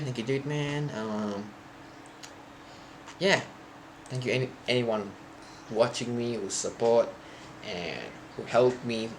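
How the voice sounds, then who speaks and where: A young man, a native Thai speaker, chatting loosely to his live stream viewers, often halting and restarting as he searches for words. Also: on the low side, background noise. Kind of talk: casual stream chat to viewers.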